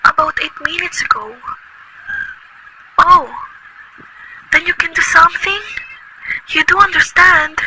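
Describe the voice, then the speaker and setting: Woman doing a high-pitched frantic invalid lady, demanding, loud hiss behind. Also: fairly even intonation, measured pace, long pauses. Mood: anxious. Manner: shrill, agitated, imperious. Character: nervous bedridden wealthy woman, demanding. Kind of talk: amateur radio play acting. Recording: loud noisy recording.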